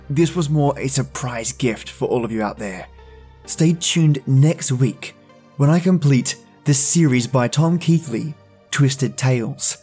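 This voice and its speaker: A man's voice: medium pitch, darkish tone, slightly rough. A man hosting a podcast, talking casually and directly to his listeners. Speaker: podcast host.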